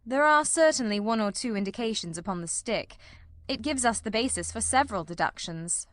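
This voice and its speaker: A woman playing a shrewd, self-assured male detective in a dramatized audiobook, with measured, condescending, precise delivery. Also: on the high side, animated, lightly acted. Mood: analytical, condescending.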